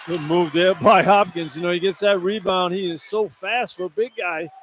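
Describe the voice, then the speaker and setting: A man's voice: high-pitched, steady tone, normal speed, crowd noise. A man giving casual live radio basketball analysis, with conversational short reactions and explanations. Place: indoor arena at a live game. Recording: live radio broadcast with arena noise. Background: arena noise.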